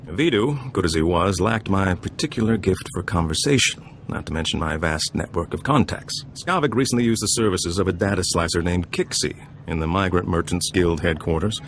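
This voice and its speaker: A suave, aging gambler and information broker in a video game. His low voice is smooth and self-satisfied, with theatrical flourishes. There is clear ambient noise behind him. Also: normal speed, few pauses. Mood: smooth, measured. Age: older. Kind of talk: video game dialogue acting.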